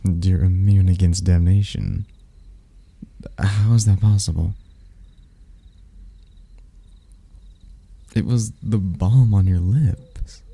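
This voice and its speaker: A man voicing a seductive, smug demon in human form, smooth-talking, teasing and husky, in an intimate ASMR roleplay. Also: deep voice, steady tone, slow, warm tone, full character voice. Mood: puzzled, realizing. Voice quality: gravelly, husky.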